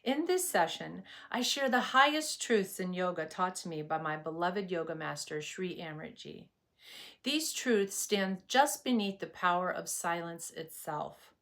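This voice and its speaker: A woman teaching meditation in a scripted spiritual talk, with a soothing, measured and reverent delivery. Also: fairly low pitch, animated, fairly bright tone.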